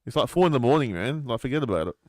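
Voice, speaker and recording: A young Australian man chatting on a studio podcast in an easygoing, teasing way. His pitch sits right in the middle for a man, and the close mic sounds dry. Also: lively intonation, very fast, darkish tone, no echo. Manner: laid-back, teasing questions, laughs often. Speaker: podcast co-host. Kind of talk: casual banter.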